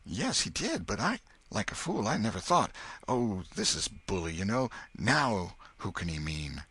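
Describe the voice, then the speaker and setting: A man voicing an excitable young boy, bold yet superstitious, whispering urgently in rustic dialect. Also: lowish voice, a little slow, husky, no room sound, pitched above the performer's own voice, exaggerated voice.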